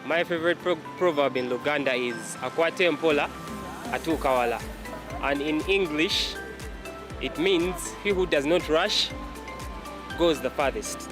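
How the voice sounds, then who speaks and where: A man in a short interview clip, speaking slowly and deliberately. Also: a little high. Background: music.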